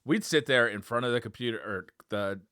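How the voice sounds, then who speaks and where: A man's voice: medium pitch, brisk, bright, forceful tone, clean tone, no echo, studio quality. An enthusiastic man, chatting casually as a gaming podcast co-host. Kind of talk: casual chat about video games.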